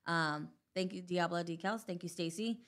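Bright-voiced chatty woman co-host. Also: on the low side, normal speed. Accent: Southwestern US. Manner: chatty, laughs often, reads out viewer comments. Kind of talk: casual livestream chat. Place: small studio with a desk. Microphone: studio mic on a boom, headphones.

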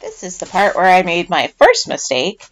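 A woman's voice: lowish voice, wildly swooping pitch, moderate pace. A middle-aged woman with a chatty, self-deprecating manner, giving a casual voiceover narration as a home soap maker.

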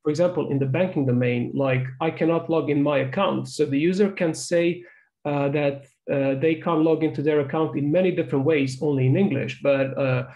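A man's voice: fairly high pitch, brisk, a little mellow, highs cut off. Croatian man giving a technical talk. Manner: frequent restarts and repeated "so, so". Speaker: guest speaker. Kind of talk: online research talk. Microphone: webcam on a video call.

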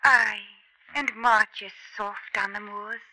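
A blunt, guarded village widow in a vintage radio drama. Her speech is clipped and rustic. The tone is bright and sharp. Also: medium pitch, fairly even intonation, slow pace, rough recording. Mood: wary. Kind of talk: 1950s radio drama acting.

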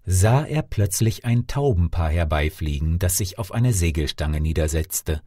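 A man narrating a fairy tale as a scripted audiobook, theatrical and expressive. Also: sits low, measured pace, husky, no echo, clean studio sound.

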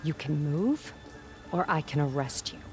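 A woman's voice: low voice, animated, a little slow. An actress playing a grim, vengeful police captain in her thirties in a video game, speaking curtly and hard. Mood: grim, defiant. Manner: curt, hard. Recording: music under the voice.

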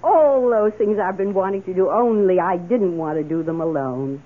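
An actress playing an eager, excitable, slightly anxious woman in a radio drama. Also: medium pitch, moderate pace, close to an everyday voice. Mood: thrilled. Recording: muffled old radio broadcast recording.

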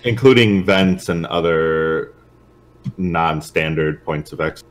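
A man's voice: deep voice, slow. A man playing a tabletop game, talking casually in short, questioning phrases.

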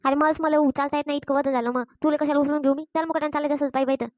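A woman's voice: high voice, flat delivery, rapid-fire, no echo, studio quality, natural voice. A woman voicing an exasperated, scolding village mother in a cartoon, with a rural Vidarbha Maharashtrian accent and a repetitive, complaining manner. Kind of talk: Marathi cartoon comedy voice acting.

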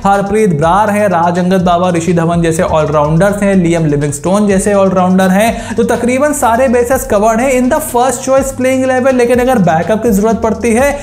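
A young man hosting a cricket analysis video, ranking teams straight to camera and slowing down to stress each announcement. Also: high voice, a little mellow, slight background noise. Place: small indoor studio with brick-pattern wall. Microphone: lapel mic, camera at mid distance. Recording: roomy echo.

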